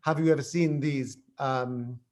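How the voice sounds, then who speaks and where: A man moderating an online Q&A, reading questions aloud in halting Russian and then English. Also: mid-range voice, fairly even intonation, a little mellow. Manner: apologetic, out of practice in Russian. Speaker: event moderator.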